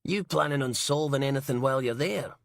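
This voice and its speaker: A man's voice: mid-range voice, fairly quick, creaky, no echo, studio quality, voice pushed up, lightly acted. A man reading an audiobook and voicing a crude, sarcastic female police inspector. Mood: mocking.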